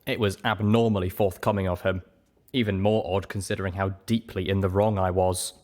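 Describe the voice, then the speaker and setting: Guy reading an audiobook style story in first person, lowish voice. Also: flat delivery, brisk, a little mellow. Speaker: story reader. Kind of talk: scripted first-person fiction narration.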